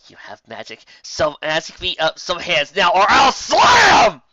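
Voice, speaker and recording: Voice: medium pitch, wildly swooping pitch, a little slow, bright, forceful tone, no room sound, dull audio, pitched above the performer's own voice, full character voice. A narrator voicing a hot-headed female unicorn in a comic story, shrieking and ranting in overwrought indignation. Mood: demanding, manic. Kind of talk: acted comic fan-story voice.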